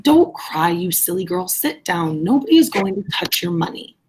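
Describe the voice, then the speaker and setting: A woman playing an arrogant, brusque gentleman speech teacher in a play reading, imperious and scornful. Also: on the low side, big pitch swings, normal speed, breathy edge. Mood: scolding. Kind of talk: reader's theatre play reading.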